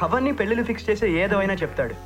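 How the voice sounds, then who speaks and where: An actor playing an eager, jokey bridegroom on a matchmaking date in a comedy film, chatting away. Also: male voice, high-pitched, very animated, racing. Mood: eager, chatty. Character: eager, jokey bridegroom seeking a second marriage. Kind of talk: comic film dialogue. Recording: film soundtrack with loud music behind.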